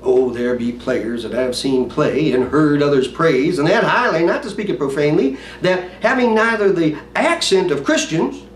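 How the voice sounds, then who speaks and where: A man's voice: fairly high pitch, animated, moderate pace, few pauses, pitched below the performer's own voice, lightly acted. Roomy, theatrical male actor as earnest Hamlet. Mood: earnest, impassioned. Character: theatrical Hamlet, earnest brooding prince reciting Shakespeare. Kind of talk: dramatic Shakespearean stage monologue. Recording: roomy, distant mic, faint noise.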